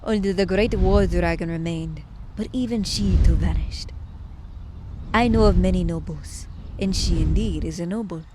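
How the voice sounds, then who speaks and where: A woman's voice: fairly low pitch, moderate pace, background noise, natural voice. Woman playing a sly, theatrical immortal witch. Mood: wistful storytelling. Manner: playful, mock-courteous, theatrical delivery. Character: clever, teasing immortal witch vixen, theatrical and haughty. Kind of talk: audio roleplay acting.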